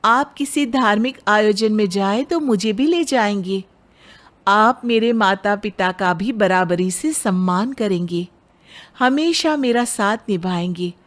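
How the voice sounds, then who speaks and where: A young, educated bride in a moral tale, acted by a woman, who speaks up for herself with determination. She stays respectful and carefully spoken while standing firm, in a middle-pitched voice. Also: a little slow, close to an everyday voice. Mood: solemn. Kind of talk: dramatized Hindi moral story.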